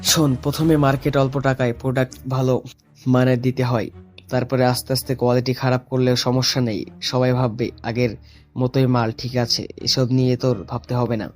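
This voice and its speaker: Over light music comes a man playing a villainous business patriarch in an audio drama. His mid-pitched voice is confident, dismissive and coolly scheming. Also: flat delivery, a little fast, darkish tone, close to an everyday voice. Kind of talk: audio story acting.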